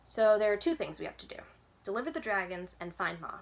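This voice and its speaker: A woman's voice: medium pitch, big pitch swings, a little fast, vocal fry. A big old man with a bushy beard and an easygoing, confident manner, as a woman storyteller reads him. He talks in a folksy way, like a roguish helper in a children's storybook. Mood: thoughtful, easygoing.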